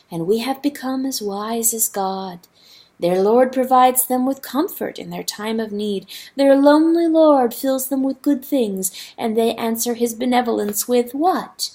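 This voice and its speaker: A woman voicing a talking river that speaks mildly, with calm, gently mocking wisdom. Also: a little high. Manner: soft, measured, gently mocking. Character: calm, wise talking river, mild and knowing. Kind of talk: audiobook character voice.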